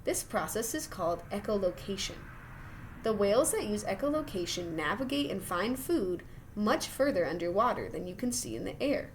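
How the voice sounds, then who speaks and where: A woman reading a children's science book aloud like a teacher, expressive and full of wonder, with chatty, encouraging asides to young listeners. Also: mid-range voice, normal speed, slight background noise.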